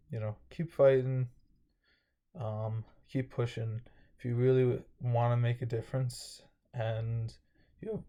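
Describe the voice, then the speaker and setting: A man's voice: mid-range voice, warm tone. A young man with a slightly dry throat, casually recapping his day in a rambling vlog with many fillers and restarts. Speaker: vlogger.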